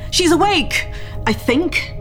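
An actress playing a protective, no-nonsense woman on a starship crew in a science fiction audio drama, brisk and urgent. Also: very high-pitched, animated, over music, exaggerated voice. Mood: concerned, urgent.

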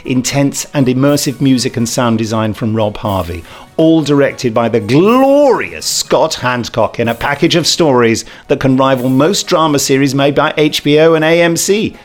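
A man's voice: medium pitch, animated, moderate pace, soft background music. A man hosting a podcast, chatting casually and reading reviews aloud with a jokey manner. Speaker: podcast host.

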